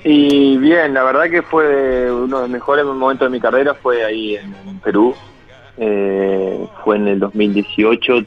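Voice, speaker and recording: A man's voice: mid-range voice, fairly even intonation, a little slow, soft background music. A man giving casual, plain-spoken answers as a footballer guest in an interview. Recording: dull lo-fi sound.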